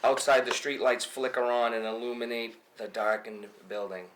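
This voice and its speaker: A man's voice: medium pitch, steady tone, normal speed, a bit bright, low-quality recording. A man reading stage directions aloud as narration at a film table read.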